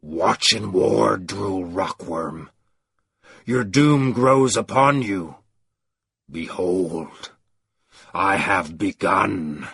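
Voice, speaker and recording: Deep resonant menacing presence, voiced by a male reader, slow and commanding, dry close sound. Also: expressive, gravelly, fairly bright tone, slightly rough, no echo, exaggerated voice.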